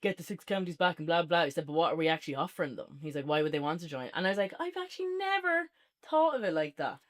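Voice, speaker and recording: A woman's voice: fairly low pitch, sing-song, quick pace. A woman co-hosting a casual, unscripted review podcast, chatting informally with plenty of "like".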